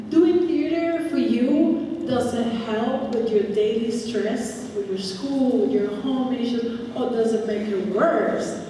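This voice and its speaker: A woman's voice: a little high, mellow, far from the mic. A middle-aged woman, a parent in the audience, asking an unscripted question in an echoey hall with crowd noise. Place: school theater.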